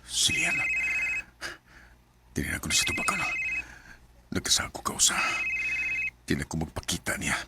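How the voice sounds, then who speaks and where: A man's voice: a bass voice, huge pitch swings, moderate pace, creaky, pauses often, heavy background noise. A man with a Cebuano accent acting out his own story in a radio drama, speaking hesitantly and apologetically.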